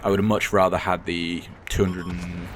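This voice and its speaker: A hoarse, croaky man with a very deep voice talks casually over gameplay, rambling and stopping to correct himself. His opinions come out blunt and unfiltered. Gunfire and engine noise sound clearly behind him. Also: level tone, normal speed. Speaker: gaming commentator.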